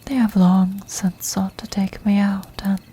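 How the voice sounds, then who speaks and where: A woman voicing an ancient, commanding vampire countess in a soft, breathy, formal whisper for an ASMR fantasy roleplay. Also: medium pitch, flat delivery. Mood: calculating, authoritative. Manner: slow, formal, measured, regal.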